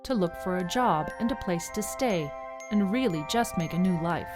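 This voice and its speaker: A woman's voice: fairly low pitch, expressive, normal speed. A woman narrating a scripted radio serial like a storybook, speaking directly to the listeners. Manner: storybook recap, addressing listeners. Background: music with jingling bells.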